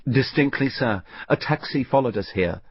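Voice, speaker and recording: A man's voice: medium pitch, animated, moderate pace, slightly rough, dull audio, pitched above the performer's own voice. A man voicing a watchful senior bank cashier in an audiobook story, respectful and careful. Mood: uneasy, deferential.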